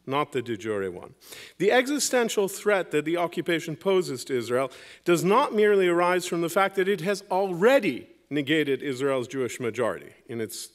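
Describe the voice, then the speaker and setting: A man from Lebanon speaks as a panelist in a debate, with a voice set somewhat higher than most men's. He delivers prepared arguments emphatically, in an argumentative style that uses rhetorical questions to press his case. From Lebanon, grew up in West Beirut. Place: university debate hall.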